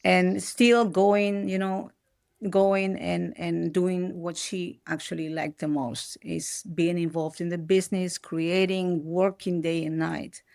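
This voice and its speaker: A woman's voice: on the low side, level tone, slow, creaky, darkish tone, dry recording, clean studio sound. A middle-aged woman from Peru, raised in the Netherlands, speaking as an as a podcast guest, reflective and often restarting her sentences. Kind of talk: reflective personal interview.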